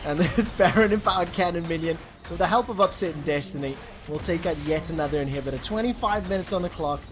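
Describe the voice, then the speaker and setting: A man calling live esports play-by-play with quick, excited bursts. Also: fairly high pitch. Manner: excitable, fast bursts, exclamations. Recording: commentary over loud game music.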